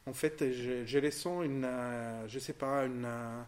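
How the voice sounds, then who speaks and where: A man in his forties speaks French with an Italian accent, in an ordinary middle pitch. He talks at a casual, unhurried pace, stopping and restarting as he hunts for the right word, stringing his thoughts together with fillers. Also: steady tone. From southern Italy. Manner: hesitant, searching for words, many restarts. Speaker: visitor discussing an exhibition. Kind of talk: casual conversation.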